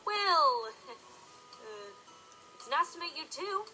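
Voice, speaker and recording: A woman's voice: very high voice, lively intonation, takes it slowly, pushed and bright, faint music behind, exaggerated voice, low-quality recording. A woman playing a folksy, friendly country farm pony in a cartoon-style audio drama.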